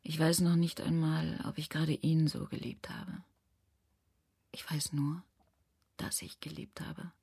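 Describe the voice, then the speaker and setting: An actress playing a grief-stricken, resigned and tender woman in an intimate radio drama, with a husky, breathy voice. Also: low voice, flat delivery, a little slow, pauses often, mellow, dry recording, clean studio sound, exaggerated voice. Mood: grieving, hushed.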